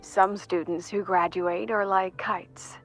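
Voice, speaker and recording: Game role: female academy mentor, creaky mid voice, composed and wistful, reflective delivery. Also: medium pitch, little pitch movement, faint music behind. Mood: wistful, gentle. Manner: measured, reflective. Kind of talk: video game voice acting.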